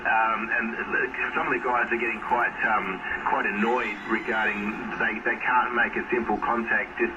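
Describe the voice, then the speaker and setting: A man's voice: lowish voice, steady tone, normal speed, hardly any pauses. An Australian-accented man chatting casually as an amateur radio operator, explaining things in a rambling, hesitant way. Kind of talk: casual ham radio contact. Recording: dull, distant audio with loud radio noise.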